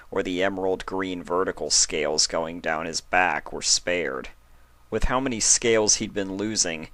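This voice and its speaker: Male story reader: lowish pitch, scripted narration, close dry sound. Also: a bit bright, dry recording.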